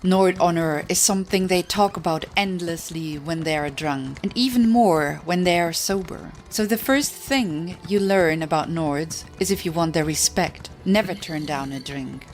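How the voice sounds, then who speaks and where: A woman reading a scripted, humorous fantasy book aloud in a measured storytelling manner. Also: lowish voice. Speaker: audiobook reader. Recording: music behind the reading. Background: background music.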